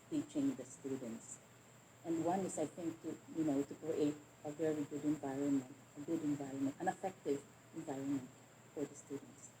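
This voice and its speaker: Female teacher asking questions, hesitant with many restarts, lowish pitch, mellow tone, faint noise. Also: rough recording. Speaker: teacher in discussion. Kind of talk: conversational Q&A.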